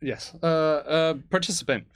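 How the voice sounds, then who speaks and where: A man's voice: a little high, moderate pace, smooth, dry recording. A man chatting casually as co-host of a live tabletop game show. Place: indoor studio, live stream.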